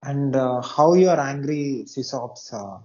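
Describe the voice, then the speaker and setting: A man hosting an interview, asking slow, hesitant questions with fillers. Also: medium pitch, warm tone, slight background noise. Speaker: interview host. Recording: dull lo-fi audio, off-mic.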